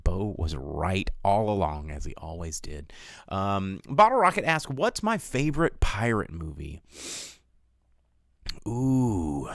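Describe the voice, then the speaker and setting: A man's voice: on the low side, clear, resonant tone, no room sound, clean studio sound. A man hosting a casual, rambling livestream of film chat, with an opinionated, jokey, conversational way of talking. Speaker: livestream host reviewing films.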